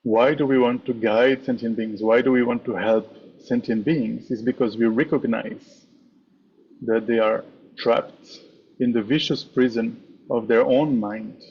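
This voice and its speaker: A man leading a guided meditation as a teacher, speaking in measured, instructive short phrases with pauses. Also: mid-range voice, steady tone, dull audio. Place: online meditation session over video call.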